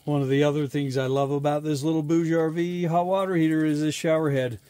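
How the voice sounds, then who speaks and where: A man's voice: on the high side, steady tone, brisk, mellow, dry recording. Easygoing middle-aged man, dry close sound. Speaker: outdoor vlogger. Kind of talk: casual explanatory talk to viewers. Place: outdoors in snowy woods.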